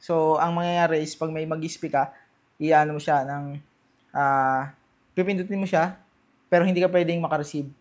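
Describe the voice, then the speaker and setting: A man's voice: a little high, fairly even intonation, normal speed, long pauses. A Filipino man doing casual unboxing and testing commentary as a vlogger, talking informally straight to his viewers and mixing in English. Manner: informal, addresses viewers directly, mixes Tagalog and English.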